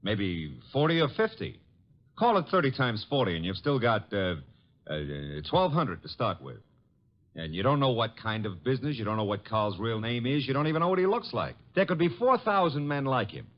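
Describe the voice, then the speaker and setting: An actor as a breezy, animated wisecracking legman. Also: medium pitch, normal speed, close to an everyday voice. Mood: skeptical, exasperated. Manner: slangy, breezy, wry. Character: wisecracking, skeptical male detective's assistant, breezy flirt. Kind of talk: old-time radio drama acting. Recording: dull, lo-fi old radio recording.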